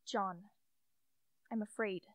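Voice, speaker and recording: A woman's voice: mid-range voice, fairly even intonation, slow, no echo, clean studio sound, close to an everyday voice. A young woman playing an ailing, fearful wife who pleads plaintively in a radio play. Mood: plaintive, afraid. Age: young adult. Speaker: student actor.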